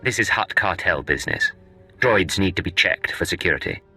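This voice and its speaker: An actor playing a cool, deceitful secret agent in a video game, with a curt and threatening manner. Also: male voice, low-pitched, animated, normal speed, vocal fry, pushed and bright, faint music behind, exaggerated voice. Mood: smooth, bluffing.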